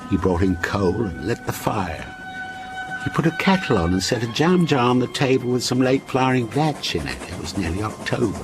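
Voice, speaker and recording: A lively-voiced, wistful storytelling man. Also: mid-range voice. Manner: deliberate, insistent, lyrical storytelling. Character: wistful, contrary older man telling a haunting story. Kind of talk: radio drama acting, storytelling.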